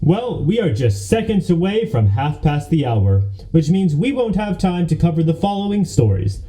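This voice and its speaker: A man acts as a breezy male news anchor in a comic newscast. He is highish and very animated in pitch, with a very mellow tone. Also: normal speed, rough recording. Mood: breezy, polished. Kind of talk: parody newscast in a radio play.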